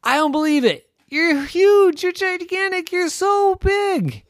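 A storyteller voicing a crowd of clouds who tease and then gasp in astonishment, in a high, squealing voice. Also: very animated, measured pace, vocal fry, no echo, clean studio sound. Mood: astonished. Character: crowd of teasing, amazed clouds.

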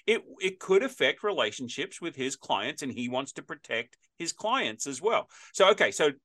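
A man's voice: fairly high pitch, big pitch swings, moderate pace, pushed and bright. A man in his fifties hosting a podcast interview, chatting in a rambling, storytelling way with frequent restarts. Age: 50s. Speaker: podcast host. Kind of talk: casual interview chat.

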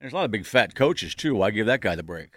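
A man's voice: lowish voice, big pitch swings, fast, fairly bright tone, no room sound, studio quality. A man hosting a sports talk show, bantering casually in a blunt, opinionated way and often cutting in.

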